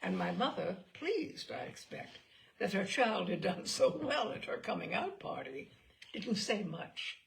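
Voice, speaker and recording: A woman reading literary memoir aloud in a measured, theatrical storytelling manner. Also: mid-range voice, very animated, gravelly. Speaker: reader of literary selections.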